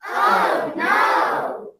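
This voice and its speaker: A woman voicing an eager, excitable little girl in a children's cartoon story, with exclamatory, earnest delivery. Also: drawn-out words, some chatter behind. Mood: dismayed.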